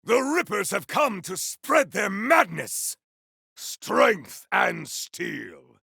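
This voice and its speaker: An actor voicing a bold male warrior in a video game who yells boastful battle cries in a gravelly, husky voice. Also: sits high, very animated, very slow, no echo, studio quality, full character voice. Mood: battle shouts. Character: bold shouting male warrior fighting monsters. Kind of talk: video game combat voice lines.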